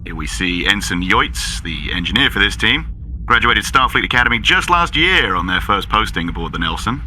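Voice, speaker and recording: A man's voice: fairly low pitch, big pitch swings, normal speed, bright, forceful tone, exaggerated voice. A man voicing a male sports commentator at a space academy contest, with a polished broadcast announcer delivery. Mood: informative. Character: male sports-style commentator at a Starfleet competition. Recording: clearly noisy background.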